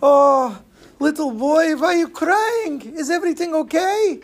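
Storybook role: kindly elderly woman played by a storyteller, raised pitch, doting and gently fussing. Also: measured pace. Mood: concerned. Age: older. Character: kindly, doting old lady train passenger. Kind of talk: oral storytelling character voice.

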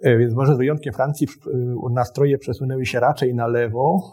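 A male historian explains in formal, halting sentences, often correcting himself. His voice is mid-pitched, soft and dark, with a husky edge. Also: fairly even intonation. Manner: hesitant, formal phrasing with self-corrections. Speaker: historian guest. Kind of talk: explanatory interview talk.

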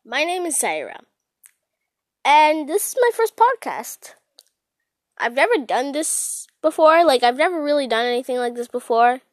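A young woman with a high, swooping voice rambles casually into a close, dry recording. She is giggly and self-deprecating, stressing words dramatically like an amateur podcast host talking to listeners. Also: moderate pace, no room sound. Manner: animated, dramatic emphasis. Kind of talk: casual rambling solo chat.

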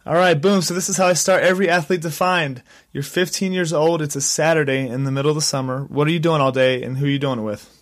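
A man hosting a podcast, upbeat and energetic, with a stuffy nasal voice from a sinus infection. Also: fairly high pitch, quick pace, few pauses, no echo, clean studio sound. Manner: upbeat, energetic, frequent restarts. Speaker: podcast host. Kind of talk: podcast intro and interview. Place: Colorado.

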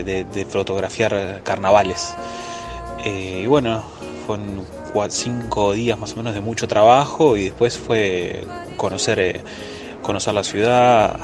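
A man who works as a photographer, talking about travel casually and hesitantly, with many fillers and restarts. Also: lowish voice. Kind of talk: casual testimonial.

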